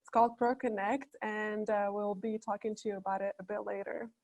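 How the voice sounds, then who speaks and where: A woman's voice: medium pitch, flat delivery, fairly quick, darkish tone. A woman from Morocco, an accountant, introducing herself as a panelist in a cheerful, friendly way on a video call.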